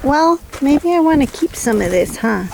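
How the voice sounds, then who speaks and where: A woman's voice: high-pitched, very animated, moderate pace, vocal fry, a little mellow. A woman with a scratchy, allergy-affected voice, chatting casually and thinking aloud like a home vlogger at her chores. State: scratchy voice and throat clearing from allergies. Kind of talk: casual chat while doing backyard chores.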